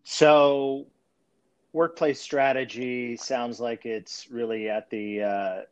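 A man hosting a conversational podcast interview, putting his questions in a slightly halting way. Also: medium pitch, steady tone, clean tone, no room sound.

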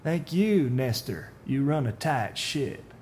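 Man doing an eerie, slow-talking pale stranger. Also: medium pitch, animated, slight background noise, voice pushed up. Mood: menacing. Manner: slow, smooth, quietly menacing.

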